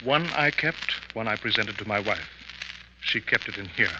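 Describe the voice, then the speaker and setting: An actor playing a grave, theatrical professor with formal, portentous diction in a 1950s radio mystery. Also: male voice, on the low side, lively intonation, moderate pace, close to an everyday voice. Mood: explanatory. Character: grave, theatrical professor, feigning grief, spinning occult tales. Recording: muffled, noisy, crackly 1950s radio recording.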